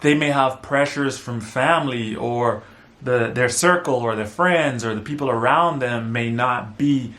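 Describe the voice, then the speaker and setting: A man's voice: mid-range voice, a little slow. Laid-back man from the Bahamas. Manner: relaxed, laughs easily, repeats words for emphasis. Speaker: co-host. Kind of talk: casual couple chat.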